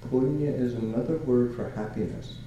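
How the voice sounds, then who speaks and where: An older man from Canada giving a Buddhist talk as a monk, halting and searching for words with many fillers and soft chuckles. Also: medium pitch, very echoey, muffled recording, a little hiss or hum. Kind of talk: dharma talk.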